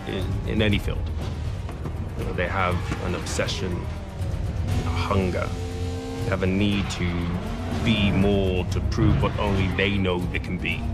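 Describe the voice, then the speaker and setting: Gravelly, low-voiced male racing boss. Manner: measured, philosophical. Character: reflective, passionate male racing team boss. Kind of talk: documentary-style interview acting.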